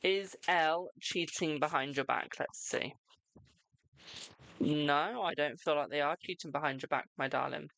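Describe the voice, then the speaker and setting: A British tarot reader hosting a live stream, chatting casually and answering viewers' questions with a brisk "my darling". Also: mid-range voice, creaky, a bit bright, dry recording. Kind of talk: casual live-stream chat and card readings.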